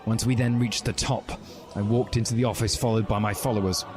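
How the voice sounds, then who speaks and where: Man reading a story over music. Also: fairly low pitch. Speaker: podcast reader. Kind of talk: dramatic comic reading of fan fiction. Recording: music behind the voice.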